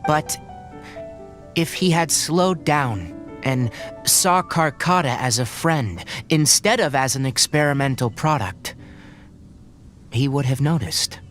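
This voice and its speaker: A woman voicing a calm, serious, thoughtful young scholar in a video game, measured and explanatory. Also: low voice. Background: background music.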